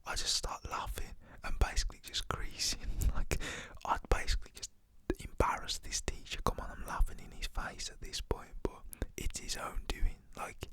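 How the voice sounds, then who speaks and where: A man's voice: normal speed, sharp tone. A young British man whispers a casual, slangy, rambling story in an ASMR style.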